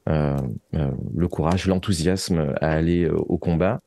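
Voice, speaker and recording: A man giving reflective interview answers, speaking thoughtfully and articulately with searching pauses. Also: a bass voice, fairly even intonation, dark tone. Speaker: interview guest, fiction writer and musician.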